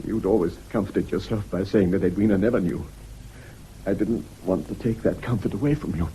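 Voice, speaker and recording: An actor with a British accent playing a weak, sentimental schoolmaster in a period radio drama, impassioned, theatrical and prone to stammered repeats. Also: male voice, deep voice, a little fast, warm tone, slight background noise, close to an everyday voice, low-quality recording. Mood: confessing, grave. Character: weak, sentimental schoolmaster, highly strung and wounded.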